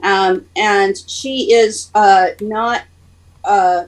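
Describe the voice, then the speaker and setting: A woman at an ordinary pitch sits back from the mic on a video call. She shares casually with the group, in a halting, conversational way. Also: fairly even intonation, distant mic, rough recording. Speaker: congregant sharing. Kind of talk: casual personal sharing.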